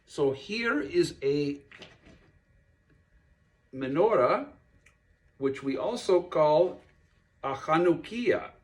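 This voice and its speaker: A man voicing a friendly pig puppet who explains things haltingly to a child in a puppet show. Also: a little high, lively intonation. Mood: friendly, instructive. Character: friendly kosher pig puppet teaching a child about Hanukkah.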